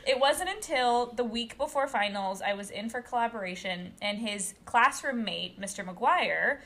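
A chatty, outspoken woman in her late twenties, originally from Brazil, talks easily in a relaxed podcast conversation. Her voice is bright, forceful and sits a little high. She laughs often between stories. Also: normal speed. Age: late 20s. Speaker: podcast co-host. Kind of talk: casual chat.

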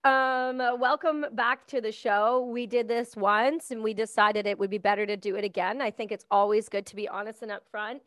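A woman podcast host with a Canadian accent, chatting casually and warmly praising her guest. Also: medium pitch, fairly even intonation, normal speed, few pauses, dry recording, studio quality. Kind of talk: casual interview chat.

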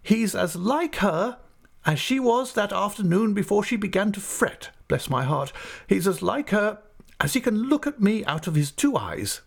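A stern, decisive elderly aunt, as a male narrator puts her on in a storybook reading. She speaks high and emphatically, with a firm, commanding air beneath her fondness. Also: sing-song, normal speed, vocal fry, breathy edge, heavily acted. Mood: brisk, emphatic. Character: brisk, stern but fond elderly aunt, a woman. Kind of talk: audiobook character voice.

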